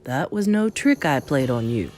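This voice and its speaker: A woman voicing a calm, wry old Norwegian grandmother in a storybook, matter-of-fact and a little mischievous. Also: extremely low pitch, normal speed, soft background music, voice pushed down.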